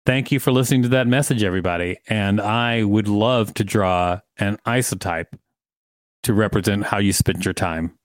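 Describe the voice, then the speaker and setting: A man's voice: lowish voice, normal speed, no echo, studio quality. A man co-hosting a podcast, reading an encyclopedia article aloud with casual asides. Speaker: podcast co-host and reader.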